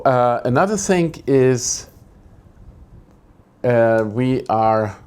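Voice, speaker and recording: Guy doing a vlog update indoors, talks real slow, kinda low voice, keeps fixing what he just said. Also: a little mellow. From Greece. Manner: grumbling, rambling, corrects himself mid-sentence. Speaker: photography channel host. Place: indoors, air conditioning switched off.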